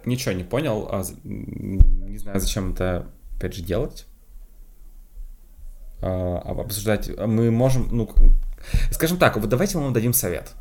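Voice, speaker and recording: A young man from Moscow co-hosting a podcast in casual chat, talking quickly and breaking into laughs. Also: lowish voice. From Moscow, Russia. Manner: quick, lively, laughs often, restarts. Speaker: podcast co-host.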